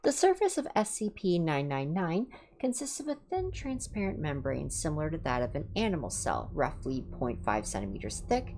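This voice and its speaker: Female ASMR story reader, low register with lively intonation, measured clinical delivery for a horror livestream. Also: a little hiss or hum. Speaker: livestream host reading horror stories. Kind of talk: ASMR reading of scripted entries. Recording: music behind the voice. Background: background music.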